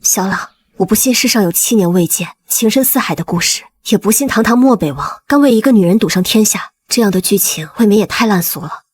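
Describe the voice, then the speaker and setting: A woman playing a composed, resolute young noble lady in a dubbed animated drama, speaking in deliberate, formal phrases. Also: mid-range voice, breathy edge, dry recording, studio quality. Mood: probing, guarded.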